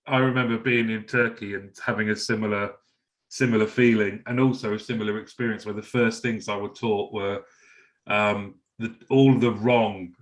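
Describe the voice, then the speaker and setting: Friendly low-voiced male podcast host. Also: fairly even intonation, moderate pace. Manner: friendly, conversational, rambling questions. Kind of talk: podcast interview chat.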